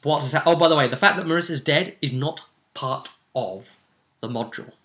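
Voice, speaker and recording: Bright-voiced man recapping a tabletop game. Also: fairly high pitch, fairly quick. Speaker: host and game master. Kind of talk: casual recap of a tabletop role-play session.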